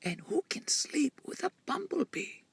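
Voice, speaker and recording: A man voicing a sleepy, plaintive little storybook rabbit in a breathy whisper. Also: very high-pitched, big pitch swings, a little slow, no echo, pitched above the performer's own voice, exaggerated voice.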